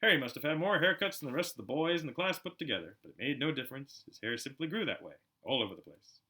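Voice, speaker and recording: A man reading aloud as an amateur narrator, expressive and storybook-like, with a chatty side. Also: medium pitch, quick pace, poor audio. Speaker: amateur audiobook reader.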